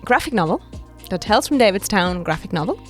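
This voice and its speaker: A woman's voice: medium pitch, very animated, quick pace, faint music behind. A woman co-hosting a casual podcast as a producer, speaking in short interjections that often echo her partner. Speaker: podcast co-host, executive producer.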